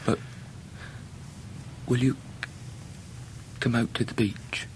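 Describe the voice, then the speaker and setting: An actor playing a humble, sombre working man in a radio play, hushed and deferential. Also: level tone, slow pace, natural voice, poor audio. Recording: faint low rumble behind voice.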